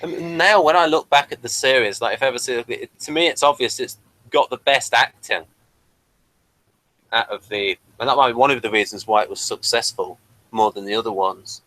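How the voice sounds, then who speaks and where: Mid-pitched man in hesitant casual chat. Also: fairly quick, sharp tone, rough recording. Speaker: podcast host. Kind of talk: casual chat reviewing a TV episode.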